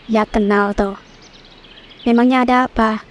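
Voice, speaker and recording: A woman's voice: a little high, steady tone, measured pace, pitched below the performer's own voice, natural voice. A woman voicing an old village stall keeper in a horror cartoon, folksy and nosy. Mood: curious.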